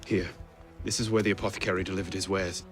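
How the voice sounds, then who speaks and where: A man's voice: deep voice, steady tone, quick pace, soft background music, close to an everyday voice. An actor playing a determined young male assassin in a video game, dry and brooding in his manner. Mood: serious, resolute. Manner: dry, resolute. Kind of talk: video game dramatic acting.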